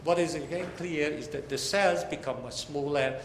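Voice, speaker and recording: A man's voice: fairly high pitch, normal speed. An older man giving a technical lecture as a professor, speaking conversationally with wry asides and emphatic stresses. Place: large lecture hall, indoors. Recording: echoey hall, faint background noise.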